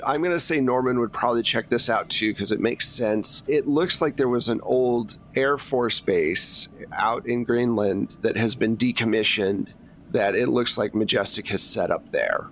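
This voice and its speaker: A man's voice: medium pitch, normal speed, slight background noise. A man running a tabletop roleplaying game, improvising descriptive narration in a conversational way. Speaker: game master.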